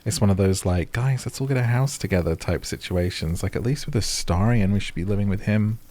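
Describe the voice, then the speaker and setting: A man's voice: on the low side, brisk, darkish tone. A man doing cozy, casual game commentary, musing and rambling as he plays. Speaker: video game streamer.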